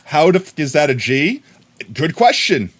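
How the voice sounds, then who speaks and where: An American man chatting casually on a live stream, rambling and bursting into excited shouts of thanks to viewers. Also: a little high, normal speed, breathy edge. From United States. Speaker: livestreamer.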